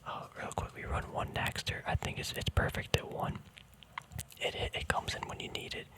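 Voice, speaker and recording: A man speaking in a breathy whisper in a casual, relaxed ASMR style, rambling through explanations and often correcting himself. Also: normal speed. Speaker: host of a hobby card-game ASMR video.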